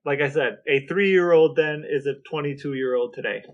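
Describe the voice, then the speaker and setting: Male podcast co-host, somewhat high-pitched, casual, dry and joking in delivery. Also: moderate pace, muffled recording. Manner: deadpan joking. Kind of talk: casual chat.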